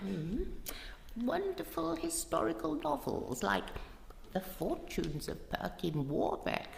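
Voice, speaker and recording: Woman acting a very creaky, slow old lady. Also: on the high side, sing-song, exaggerated voice. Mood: coy, knowing. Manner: measured, relishing, coyly knowing. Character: genteel, sly old lady book collector, reclusive. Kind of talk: live radio play acting. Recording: noisy live recording in reverberant hall.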